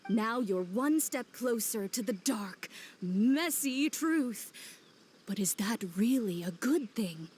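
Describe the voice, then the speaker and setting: An actress playing an eerie young shrine maiden who relishes scares in a video game dub, theatrical, drawn-out and playful, with faint ambience behind her. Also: on the high side, animated, a little mellow, husky, full character voice. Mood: teasing, ominous.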